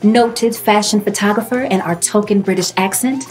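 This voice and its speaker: A woman playing a self-important reality modelling show host, grand and dramatic, with weighty pauses. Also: mid-range voice, a little mellow, soft background music, lightly acted, rough recording. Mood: grand, solemn. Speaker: host and head judge. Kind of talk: parody of a reality competition show.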